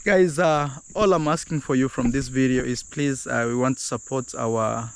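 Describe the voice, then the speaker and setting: A man's voice: mid-range voice, steady tone, normal speed, vocal fry, clear, resonant tone. A man hosting a casual travel vlog outdoors, speaking to viewers as "guys". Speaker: vlogger host. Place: outdoors on a road near a beach.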